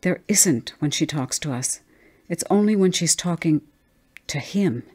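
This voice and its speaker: Woman playing fearful young mother, brittle, emphatic, low mellow voice, dramatized story reading. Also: expressive, measured pace, breathy edge, heavily acted. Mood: uneasy. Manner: tense, emphatic.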